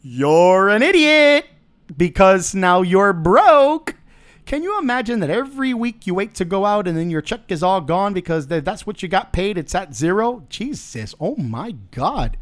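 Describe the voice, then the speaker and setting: A man's voice: high voice, sing-song, unhurried. A middle-aged man hosting a podcast, talking through a casual monologue in a blunt, profane and joking way. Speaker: podcast host.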